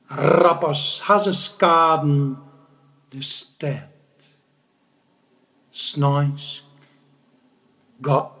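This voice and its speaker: A man giving a dramatic, declamatory poetry recitation with deliberate pauses between short phrases. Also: fairly high pitch.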